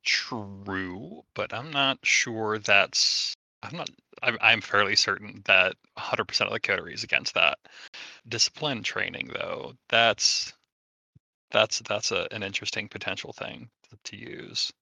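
Low, gravelly, sharp-edged man at the game table. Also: a little slow, no echo. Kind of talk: casual in-game discussion.

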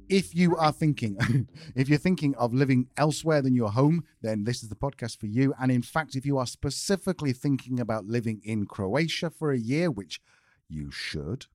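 A man's voice: mid-range voice, a little mellow, no room sound, clean studio sound. A man hosting a podcast, chatting casually and joking at his own expense. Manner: chatty, self-deprecating, joking. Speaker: podcast host.

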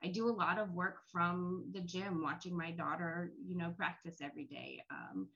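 A woman's voice: on the low side, steady tone, moderate pace, a bit bright, highs cut off. A middle-aged woman speaking casually on a panel call, sharing personal experience. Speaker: panel guest, academic.

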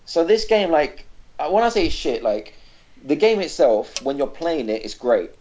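A man's voice: a little high, very animated, normal speed, darkish tone. A man co-hosting a casual gaming podcast, ranting animatedly and swearing freely. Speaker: podcast co-host. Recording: dull lo-fi podcast audio.

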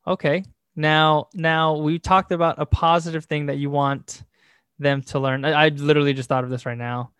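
A man's voice: fairly high pitch, flat delivery, moderate pace, a bit bright, clean tone, no room sound, studio quality. A man co-hosting a podcast, asking questions in a casual, joking way. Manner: laughing, joking interviewer. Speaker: podcast co-host. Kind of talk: casual chat.

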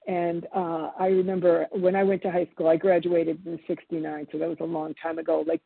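A woman in her seventies whose first language is Italian, sharing her story at a recovery meeting in a halting, reflective way with many pauses and restarts. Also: on the low side, creaky, slightly rough, dry recording. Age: 70s. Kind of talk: personal testimony at a recovery meeting. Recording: phone-line audio.

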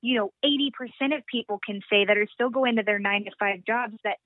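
A woman's voice: mid-range voice, fast, no room sound. A woman in her late twenties, a boutique founder chatting in a phone interview, upbeat and full of fillers. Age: 20s. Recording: tinny phone line, dry.

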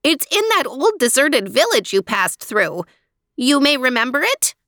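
A small, brave mouse, put on by a woman storyteller, pleading in a high, lively voice that climbs and dips. The sound is close and dry, with no room around it. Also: unhurried, no room sound, exaggerated voice. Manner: earnest, pleading.

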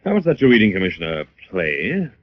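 An actor playing an urbane, polite gentleman sleuth in an old radio drama. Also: low-pitched, wildly swooping pitch, a little fast, heavily acted. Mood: urbane, skeptical. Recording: dull old radio recording.

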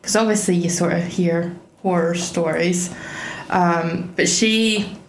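A woman's voice: on the low side, steady tone, slow pace. A young woman, a podcast guest telling her story in a wry, chatty way. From Scotland. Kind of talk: casual chat. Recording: echoey room with faint background noise.

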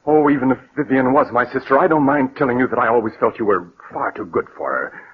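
An actor playing a stern, disapproving brother in a 1940s radio drama, earnest and moralizing. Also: male voice, mid-range voice, quick pace, lightly acted. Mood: shocked, urgent. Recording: muffled, raspy old 1940s radio recording.

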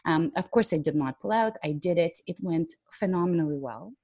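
Woman from Ukraine, reflective podcast guest; lowish pitch, close and dry, laughs easily, lots of fillers. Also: animated, brisk, mellow, slightly rough, no room sound. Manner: candid, laughs often, many "um" and "you know" fillers. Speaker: podcast guest, public speaker. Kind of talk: reflective interview conversation.

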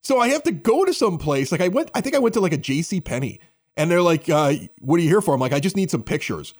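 A man hosting a local podcast with an animated radio-announcer delivery. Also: a little high, quick pace. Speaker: podcast host. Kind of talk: scripted local news reads and casual bar chat. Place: at a bar.

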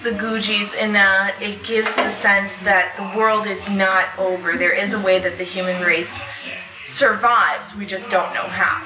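A woman's voice: mid-range voice, steady tone, a little slow. A woman chatting informally on a live convention panel as a voice actor, often interrupting herself. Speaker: audio drama voice actor on a panel. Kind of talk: casual, animated chat. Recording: echoey, distant mic with clear music behind.

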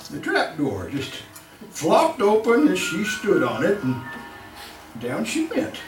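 An actor playing a boastful, cunning mad serial killer in old-time radio horror style, with a chuckling, halting, sinister delivery. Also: male voice, sits high, wildly swooping pitch, full character voice. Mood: gleeful, boastful. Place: live theatre stage performance. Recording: echoey live stage recording, distant mic, music.

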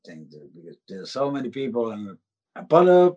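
A man with a Finnish accent, a band member guesting on a podcast, speaking halting English and searching for words. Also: mid-range voice, big pitch swings, highs cut off. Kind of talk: casual interview chat.

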